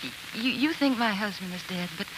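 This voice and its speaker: An actress playing a devoted, resolute wife of an explorer in a 1940s radio drama, with earnest, refined delivery. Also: female voice, mid-range voice, moderate pace, noisy background, close to an everyday voice. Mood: quietly resolute. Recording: vintage 1940s radio broadcast, music underneath.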